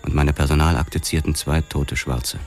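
An actor playing a brooding, terse young police detective who narrates a noir crime drama in a measured, sombre way. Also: male voice, extremely low pitch, steady tone, darkish tone, faint music behind. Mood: reflective. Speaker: first-person narrator.

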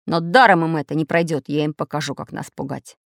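A woman's voice: low voice, fairly even intonation, brisk, creaky, no echo, studio quality. A woman voicing a brave, indignant little male iron handyman in a children's story. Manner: indignant, emphatic.

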